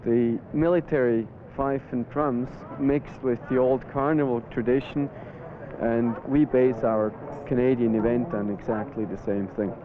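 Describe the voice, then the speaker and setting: A man's voice: mid-range voice, fairly even intonation, crowd noise. Man explaining, tinny old audio. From Switzerland. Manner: explanatory, measured. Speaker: carnival organizer. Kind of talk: explaining to camera. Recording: tinny old film audio with street noise.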